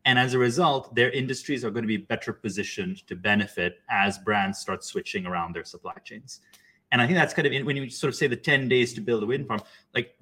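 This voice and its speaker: A man's voice: mid-range voice, brisk, bright, forceful tone, breathy edge. A man from Pakistan speaking conversationally as a panelist, in a discursive way with many small asides.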